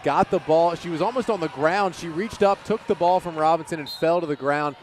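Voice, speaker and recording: A man's voice: fairly high pitch, brisk. A man giving casual, conversational color commentary on a live basketball game, explaining each play. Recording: faint basketball arena noise.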